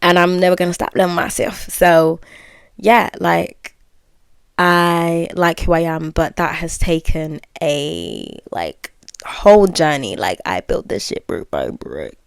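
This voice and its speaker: A woman's voice: on the low side, expressive, takes it slowly, creaky, clean tone, dry recording. A woman aged twenty, well-spoken, hosting her own podcast and musing candidly, with fillers and self-corrections. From United Kingdom. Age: 20s. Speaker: podcast host. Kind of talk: reflective solo podcast monologue.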